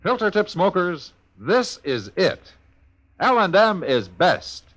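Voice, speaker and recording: A man's voice: high-pitched, big pitch swings, takes it slowly, a bit bright, no room sound. A man announcing a 1950s radio commercial in a punchy, scripted and emphatic style.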